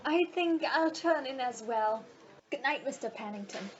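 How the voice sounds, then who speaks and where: A woman plays a courteous, self-assured lady passenger in an audio drama. Her voice is high and matter-of-fact. The recording is dull, with faint noise behind it. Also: lively intonation, normal speed. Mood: polite, pleased. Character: polite, confident female card-playing cruise passenger. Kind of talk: amateur audio play acting. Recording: muffled recording with background noise.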